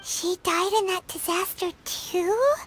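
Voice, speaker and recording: A woman voicing a tiny, childlike fairy companion in a video game, with a small squeaky voice and an earnest manner. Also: slow pace, fairly bright tone, a little hiss or hum, heavily acted. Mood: subdued concern.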